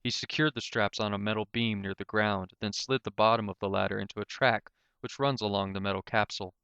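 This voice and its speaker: A man's voice: lowish voice, fairly even intonation, fairly quick, vocal fry, pushed and bright, clear, resonant tone, no room sound, dull audio. A man reading a scripted story aloud as an amateur narrator.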